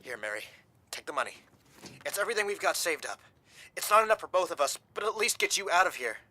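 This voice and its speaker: A man's voice: a little high, lively intonation, fast talker, sharp tone. An actor in a radio drama playing a desperate, guilt-ridden young gangland man who is protective of his lover, earnest and intense. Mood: anguished.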